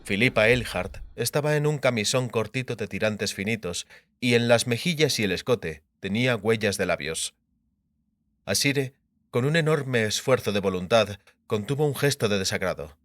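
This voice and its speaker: A man's voice: fairly low pitch, animated, moderate pace, fairly bright tone, dry recording. Male audiobook narrator, very dry sound. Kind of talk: scripted fantasy narration.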